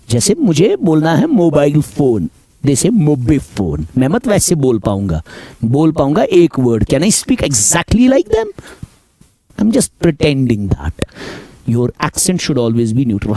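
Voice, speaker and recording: Slow Kashmiri man teaching. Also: fairly high pitch, a little mellow, no room sound. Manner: slow, repetitive, mixes Hindi and English, addresses students as beta. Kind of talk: classroom lecture.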